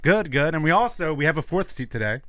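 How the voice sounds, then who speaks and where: A man's voice: on the high side, expressive, quick pace, sharp tone, no room sound, studio quality. A man hosting a casual sports podcast, eager and self-deprecating, who stammers and restarts his sentences often. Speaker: podcast host.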